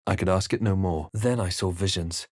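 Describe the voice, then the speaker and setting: A man voicing a brooding, wry fantasy adventurer hero in a video game, with measured, dramatic delivery. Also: sits low, no echo, clean studio sound. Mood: grave, haunted. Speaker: video game player-character voice actor. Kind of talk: dramatic first-person fantasy game narration and voice lines.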